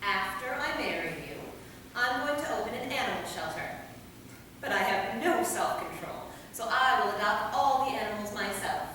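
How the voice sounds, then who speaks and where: A woman's voice: on the high side, animated, normal speed, pushed and bright. Woman acting a devoted immortal in an echoey hall. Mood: tender, hopeful. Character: tender, devoted three-hundred-something immortal woman, hopeful. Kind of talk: staged play reading. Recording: echoey hall, distant mic, clear noise.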